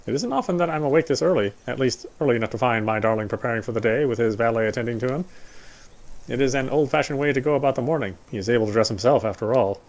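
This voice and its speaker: A man's voice: mid-range voice, fast. A man doing a live dramatic reading of first-person story narration from a game. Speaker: let's player reading game narration aloud. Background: faint music.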